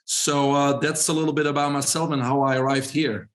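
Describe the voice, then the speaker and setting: A man in his forties from Belgium with a Belgian accent, a podcast guest who tells his story in a relaxed way with frequent fillers. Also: medium pitch, a little fast. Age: 40s. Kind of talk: podcast interview.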